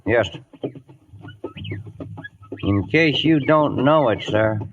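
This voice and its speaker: A man's voice: medium pitch, takes it slowly, close to an everyday voice. Casting: man playing plainspoken, loyal American cavalry sergeant, folksy and respectful, dull old radio recording with faint noise. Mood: wary, respectful. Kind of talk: 1950s radio drama acting. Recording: muffled, noisy vintage radio broadcast.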